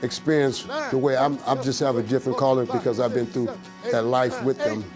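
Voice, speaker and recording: A man's voice: mid-range voice, level tone, a little fast. A man speaking earnestly and informally, as a mentor talking in a documentary-style interview. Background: plucked guitar music.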